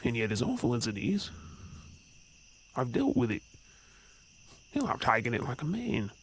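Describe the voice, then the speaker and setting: A man's voice: medium pitch, sing-song, moderate pace, natural voice. A man playing an earnest, brooding werewolf, weary of hiding, in a supernatural ASMR audio drama. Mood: anguished. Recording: faint noise with chirping night insects.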